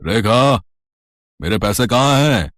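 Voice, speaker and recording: A man's voice: mid-range voice, lively intonation, slow, fairly bright tone, no room sound, clean studio sound. A man voicing a stern, greedy village moneylender in a story, with a demanding, threatening manner.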